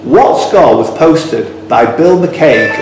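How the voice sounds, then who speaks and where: A man's voice: on the high side, big pitch swings, slow, vocal fry. A man working as a quizmaster, reading out questions with blunt, teasing, informal banter. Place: echoey indoor club room. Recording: very echoey room, faint background noise.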